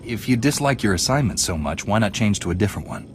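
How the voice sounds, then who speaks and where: An actor playing a calm, resolute space knight hero in a video game, speaking in a measured, earnest way. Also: male voice, fairly low pitch, background noise, lightly acted. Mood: composed. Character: calm, resolute heroic Jedi knight, adult male. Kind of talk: video game character acting.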